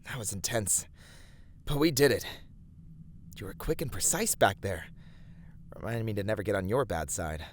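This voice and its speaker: A man playing a steady, righteous fantasy adventurer in an ASMR roleplay, speaking to a companion in measured, slightly formal, encouraging words. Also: fairly low pitch, a little hiss or hum, close to an everyday voice. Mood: relieved, practical. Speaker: voice actor in a roleplay. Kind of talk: scripted ASMR fantasy roleplay addressed to the listener.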